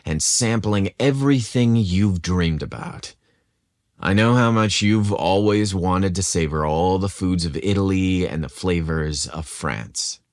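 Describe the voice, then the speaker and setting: Guy doing a rugged sweetheart boyfriend, really deep and heartfelt, romance audio, dry sound. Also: darkish tone, no echo. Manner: halting, heartfelt. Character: rugged, charming construction manager, devoted lover. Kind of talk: romantic audio story dialogue.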